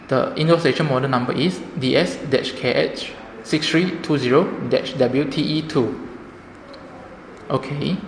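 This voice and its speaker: Echoey man presenting a product demo. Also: mid-range voice. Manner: simple step-by-step explaining. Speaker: product demonstrator. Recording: echoey reverberant room, background noise.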